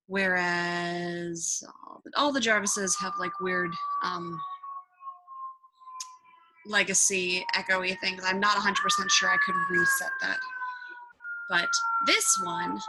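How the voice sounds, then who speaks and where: A woman presenting a software tutorial in an informal, rambling way, with offhand asides. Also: mid-range voice, low-quality recording. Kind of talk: casual screen-recording software tutorial.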